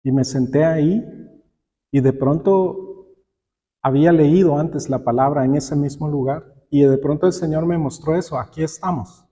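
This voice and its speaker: A man's voice: a little high, mellow. A man preaching a sermon, putting questions to his listeners and acting out bits of dialogue as he goes. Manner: acts out imagined dialogues and quotes; rhetorical questions to the congregation. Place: church hall, preaching aloud to congregation. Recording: long echo of a large hall.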